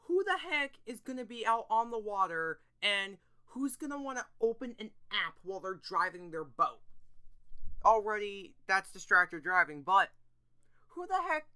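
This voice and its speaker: A woman hosting a video, making an earnest, emphatic appeal to viewers in a halting delivery. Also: mid-range voice, sharp tone, clear, resonant tone. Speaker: video host.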